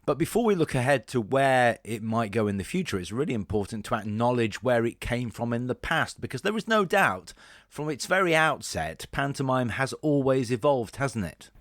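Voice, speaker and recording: A man presents an interview podcast in a polished and courteous way. His voice is medium in pitch and recorded very dry, with little sense of any space around him. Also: sing-song, normal speed, fairly bright tone, no room sound. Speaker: podcast host.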